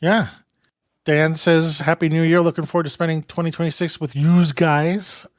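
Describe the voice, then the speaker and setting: A man from New York co-hosting a photography podcast, chatting in a relaxed, rambling way with many restarts and laughs. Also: a little high, moderate pace, no echo, clean studio sound. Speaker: podcast co-host. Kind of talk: casual chat.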